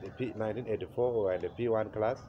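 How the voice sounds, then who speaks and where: A man in his forties from Uganda with a Ugandan accent, telling his life story as an interview guest, pausing often with "uh" and chuckling at memories. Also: lowish voice. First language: Acholi. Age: 40s. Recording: slightly muffled with faint noise.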